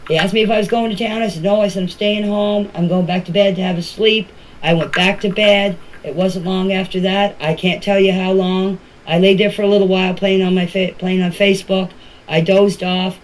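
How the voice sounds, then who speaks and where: A woman's voice: mid-range voice, steady tone, fairly quick. Older woman in a noisy voice message. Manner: plain, step-by-step telling in colloquial grammar. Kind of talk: informal recounting of events in voice messages.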